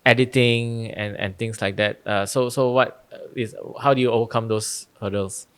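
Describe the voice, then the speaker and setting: A man's voice: fairly low pitch, steady tone, moderate pace, fairly bright tone, clean tone. A man hosting a podcast, asking questions in a friendly, slightly hesitant way with short agreeing replies. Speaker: podcast host. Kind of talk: casual interview talk.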